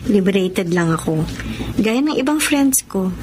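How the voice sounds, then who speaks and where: A woman's voice: mid-range voice, expressive, measured pace, noisy background, natural voice. A woman playing an easygoing, liberated young girlfriend in a Tagalog radio drama. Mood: reassuring.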